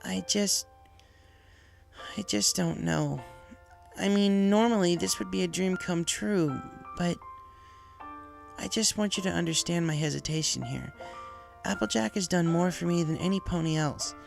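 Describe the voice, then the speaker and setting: A man voicing an eager but hesitant young female pegasus pony in a story reading, speaking haltingly, with music behind. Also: sits high, gravelly, voice pushed up, full character voice. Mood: torn, hesitant.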